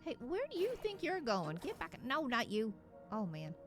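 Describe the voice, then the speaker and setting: A woman's voice: a little high, huge pitch swings, moderate pace. A woman streamer giving casual commentary as she plays, chatty and muttering to herself while she works through puzzles. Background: game music.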